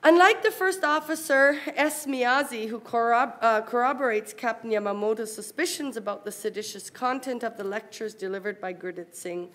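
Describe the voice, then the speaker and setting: A woman reading a formal academic paper aloud at a university conference, in a measured, careful manner. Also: fairly high pitch, a bit bright.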